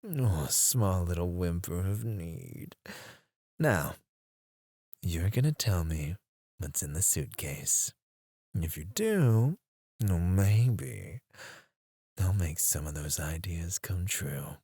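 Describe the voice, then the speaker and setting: A man playing a low, slow-taunting captor. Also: animated, breathy edge, dry recording, studio quality, full character voice. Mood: teasing, menacing. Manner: taunting, slow and intimate, with soft chuckles and whispers. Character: teasing, menacing male captor interrogating a prisoner. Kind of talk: ASMR roleplay. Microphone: close mic.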